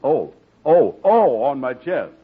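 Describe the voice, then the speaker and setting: A man's voice: mid-range voice, very animated, slow, breathy edge, full character voice. An actor playing a bumbling man who poses as a soldier, stammering and bluffing in a 1940s radio comedy. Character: bumbling smooth-talking man posing as soldier. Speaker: Andy, comic lead. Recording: tinny old radio broadcast.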